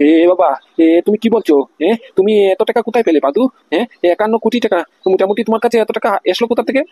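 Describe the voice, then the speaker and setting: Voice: medium pitch, rapid-fire, warm tone, slightly rough, lightly acted. A performer voicing an astonished cartoon homeowner, questioning everything in disbelief. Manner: incredulous, questioning. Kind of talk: amateur cartoon dialogue.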